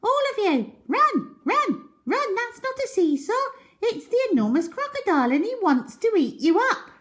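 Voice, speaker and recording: A frantic little storybook monkey played by a woman reader shouts warnings in a shrill, very high voice. It sounds protective and urgent, leaping about in pitch. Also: very animated, moderate pace. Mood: frantic alarm.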